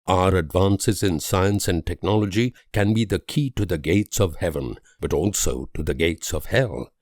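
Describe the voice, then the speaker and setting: An actor playing a grave, idealistic and world-weary political leader in a strategy game, speaking in measured, solemn oratory with dramatic pauses. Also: male voice, low-pitched, a little mellow, dry recording, studio quality, natural voice.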